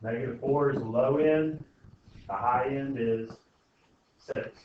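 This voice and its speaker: A man's voice: mid-range voice, slow pace. A man teaching a class informally, often asking questions and checking that students understand. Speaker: algebra teacher. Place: indoor school classroom. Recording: very echoey noisy classroom, distant muffled mic.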